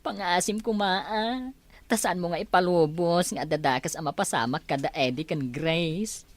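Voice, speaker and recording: A woman's voice: on the low side, normal speed, dry recording, lightly acted. A woman acting as an anxious, exclaiming friend fretting over a crisis in an Ilocano audio drama. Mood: worried, distressed.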